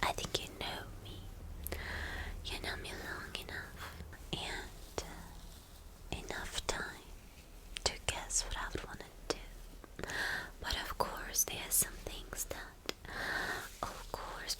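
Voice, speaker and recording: A woman's voice: slow, long pauses, natural voice, low-quality recording. A woman acts out a spoiled, cruel villainess girlfriend for an ASMR roleplay. She speaks entirely in a whisper and takes her time over each phrase. Her manner is possessive and coaxing, with teasing endearments that turn domineering. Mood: teasing, commanding.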